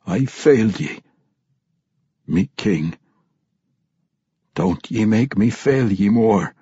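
A man doing a gruff vampire dwarf. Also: on the low side, lively intonation, warm tone, dry recording, lo-fi sound, full character voice. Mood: strained. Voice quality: thick dwarvish brogue. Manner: strained, gruff and halting. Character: loyal vampire dwarf warrior, male, tormented.

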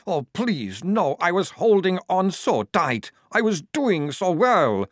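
Young vampire trooper, played by a male reader, craving and muttering, pitched up, dry studio sound. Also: sing-song, measured pace, no room sound. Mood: desperate, strained. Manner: strained, muttering.

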